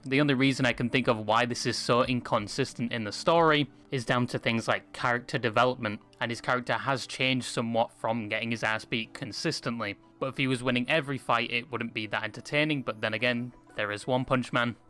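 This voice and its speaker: A man's voice: mid-range voice, steady tone, brisk, hardly any pauses, bright, forceful tone, soft background music. A man narrating a scripted video review with wry, crude humour. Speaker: video essayist.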